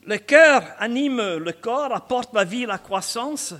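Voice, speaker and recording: A man's voice: very high-pitched, animated, takes it slowly, sharp tone. A man in his sixties with a Scottish accent, preaching a chatty sermon sprinkled with asides and humor. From Scotland. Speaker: pastor.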